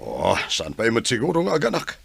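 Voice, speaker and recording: An actor playing a stern, irritable older patriarch in a radio drama, gruff and commanding. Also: male voice, mid-range voice, huge pitch swings, a little fast, a bit bright, dry recording, full character voice.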